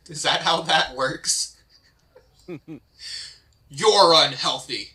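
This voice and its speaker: Bright-voiced man, casual commentary. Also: fairly high pitch, very slow. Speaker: table read participant and commenter.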